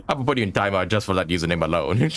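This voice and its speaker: Low-voiced, lively male game streamer. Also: quick pace. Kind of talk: casual live-stream chat.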